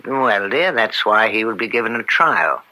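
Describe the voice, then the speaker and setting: An actor playing a mild, principled judge and fond father, formal and gently wry, in an old-time radio drama. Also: lowish voice, lively intonation, normal speed, rough recording. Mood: measured reproof. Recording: vintage radio drama recording.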